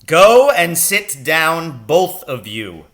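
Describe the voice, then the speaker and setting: A man's voice: fairly high pitch, sing-song, extremely slow, a bit bright, voice pushed up, full character voice. A man voicing a stern, menacing schoolmaster and former army captain, barking curt commands in a storybook reading. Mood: harsh, commanding.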